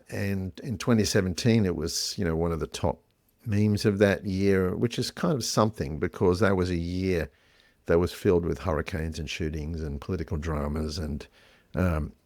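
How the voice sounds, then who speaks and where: A man co-hosting a talk show, telling a story in a relaxed way with plenty of ums and uhs. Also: deep voice, normal speed, no echo, studio quality. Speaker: podcast co-host. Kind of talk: casual chat.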